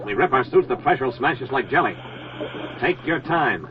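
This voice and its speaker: Male, 1940s radio drama: actor as commanding Navy diver, terse telegraphic delivery, mid pitch, noisy old recording. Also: lively intonation, moderate pace, lo-fi sound, natural voice. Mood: grim, urgent. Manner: clipped, terse telegraphic phrases.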